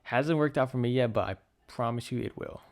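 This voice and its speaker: A man's voice: mid-range voice, a little fast, vocal fry. A man of twenty from Texas, co-hosting a podcast in a joking, chatty way. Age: 20s. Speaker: podcast co-host. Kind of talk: casual chat. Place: home in Texas.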